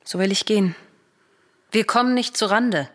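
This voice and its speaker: An actress playing a cautious, reasoning royal sister in a classical radio drama, speaking in formal, measured, admonishing verse. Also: female voice, mid-range voice, a bit bright, close to an everyday voice.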